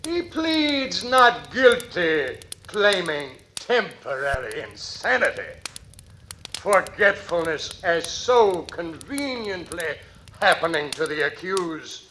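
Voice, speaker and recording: An actor playing a stern prosecuting attorney, declaiming in oratorical 1940s radio drama style. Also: male voice, high-pitched, big pitch swings, slow, pushed and bright, full character voice. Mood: stern, accusing. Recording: noisy old radio recording.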